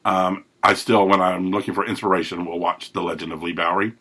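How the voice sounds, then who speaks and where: A man hosting a recovery podcast, telling his life story in a rambling, self-correcting way with a big, loud voice. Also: low-pitched, level tone, fairly quick, slightly rough. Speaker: podcast host. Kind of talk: personal recovery monologue.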